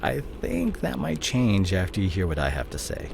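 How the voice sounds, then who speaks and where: A man's voice: low voice, very animated, moderate pace, creaky, noisy background, heavily acted. A man playing a fallen, evasive CEO boyfriend in an intimate, dramatic roleplay audio drama. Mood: tender, confessing. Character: cheating fallen CEO boyfriend, smooth-talking, evasive, image-obsessed.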